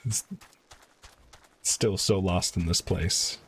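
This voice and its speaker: A man live-streaming a video game, reading quest dialogue aloud in a halting way and stumbling over words. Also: low-pitched, steady tone, a little hiss or hum.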